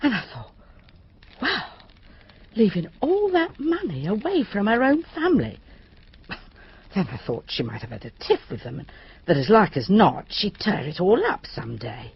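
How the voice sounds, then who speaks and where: An actress playing a deferential, anxious widowed cleaner, confiding hesitantly in a radio drama. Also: female voice, medium pitch, very animated, moderate pace, muffled recording, a little hiss or hum. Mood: uneasy.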